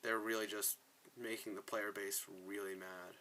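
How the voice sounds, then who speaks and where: A man's voice: lowish voice, little pitch movement, moderate pace, sharp tone, a little hiss or hum. A man giving casual, opinionated commentary as he plays a video game, often sounding exasperated. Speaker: gaming video creator, player. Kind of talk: casual game commentary with dialogue read aloud.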